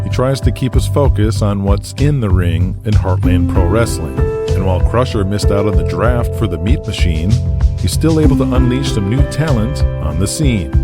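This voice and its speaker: A man narrating an audio drama in a dramatic, scripted storytelling style. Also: fairly low pitch. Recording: loud music behind.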